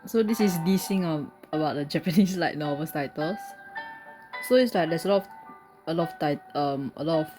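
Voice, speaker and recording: A woman with a low voice plays a game and talks along casually, sometimes reading its text aloud. Game music is clearly audible behind her. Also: normal speed. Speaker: gamer playing and commenting.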